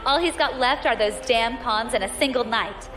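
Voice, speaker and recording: An actress playing a brash young space pirate, quick, sarcastic and observant. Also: female voice, a little high, music in the background, close to an everyday voice. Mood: cocky. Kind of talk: audio drama acting.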